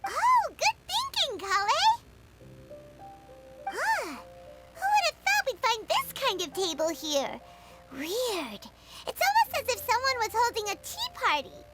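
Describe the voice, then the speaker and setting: A woman voicing a tiny, excitable, childlike fairy companion in an anime game, exclaiming as she talks. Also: extremely high pitch, measured pace, loud music behind the voice, full character voice. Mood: curious. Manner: speaks of herself in the third person, exclamatory.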